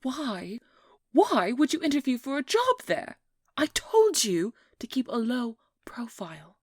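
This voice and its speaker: Slow, breathy woman acting a stern vampire hunter. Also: on the high side, sing-song, no echo, clean studio sound, full character voice. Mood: exasperated, scolding. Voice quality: soft, breathy, often whispered. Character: determined, exasperated woman vampire hunter with a guarded caring side. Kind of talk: ASMR audio roleplay, intimate dramatic acting.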